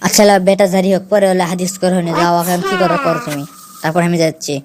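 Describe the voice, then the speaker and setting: A woman's voice: on the low side, fairly even intonation, rapid-fire, noisy background, natural voice. A woman voicing an exasperated, defensive village mother with a Bogura accent in a comic amateur cartoon dub. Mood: fed up. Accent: Bogura, northern Bangladesh. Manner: defensive, argumentative, complaining. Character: exasperated village mother bickering with her husband.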